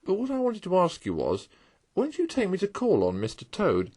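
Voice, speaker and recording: A man's voice: high-pitched, huge pitch swings, normal speed, darkish tone, breathy edge, pitched above the performer's own voice, exaggerated voice. A man voicing a cautious, mild-mannered male mole in a storybook reading. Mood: eager. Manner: timid, soothing.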